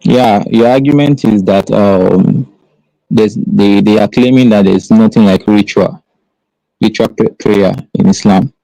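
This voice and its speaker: Gravelly, hesitant low-voiced man. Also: unhurried, warm tone, no room sound, poor audio. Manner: hesitant, many fillers and restarts. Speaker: caller defending his view in a debate. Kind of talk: live online debate.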